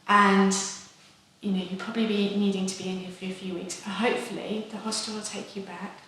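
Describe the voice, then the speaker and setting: A woman acting a calm, practical psychiatrist who speaks plainly and reassuringly to a patient, recorded in an echoey room. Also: mid-range voice, fairly quick, natural voice. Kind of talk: acted clinical consultation. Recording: echoey room, distant mic, faint noise.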